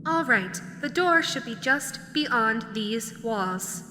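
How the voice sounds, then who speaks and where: A woman's voice: on the high side, animated, takes it slowly, over music. A woman playing a polite, officious robotic guide device in an audio roleplay, giving formal, matter-of-fact directions like scripted announcements. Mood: politely officious. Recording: long hall reverb, clear background noise.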